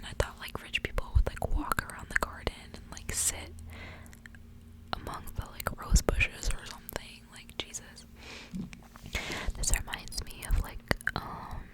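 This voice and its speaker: A woman speaking in a breathy whisper in the style of an ASMR reader, softly reading and chatting. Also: low-quality recording. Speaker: ASMR reader hosting a book series. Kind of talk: whispered ASMR storybook reading with casual asides.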